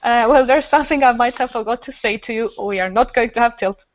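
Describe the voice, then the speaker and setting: Woman, higher-pitched voice, enthusiastic rambling storytelling, casual podcast chat, dull audio. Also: fast, dry recording. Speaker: improv festival organizer, podcast guest.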